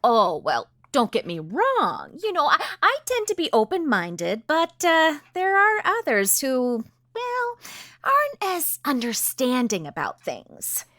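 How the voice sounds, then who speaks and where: A woman's voice: high-pitched, huge pitch swings, slow pace, sharp tone, no room sound, heavily acted. A nosy North Dakota neighbor lady. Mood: fake-friendly. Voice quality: sugary, gossipy, folksy with old-fashioned sayings. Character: nosy, folksy small-town woman neighbor. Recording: dry close studio sound.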